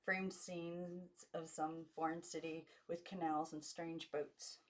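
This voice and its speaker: A woman reads a story aloud in the manner of a home audiobook narrator. Her voice sits a little low for a woman as she delivers the scripted narration. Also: level tone, slow. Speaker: amateur audiobook reader.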